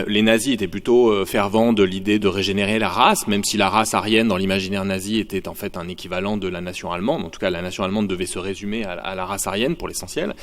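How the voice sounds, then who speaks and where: A male sociology lecturer giving a university conference talk, thinking aloud with frequent fillers and restarts. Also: lowish voice. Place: lecture hall. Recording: long hall reverb.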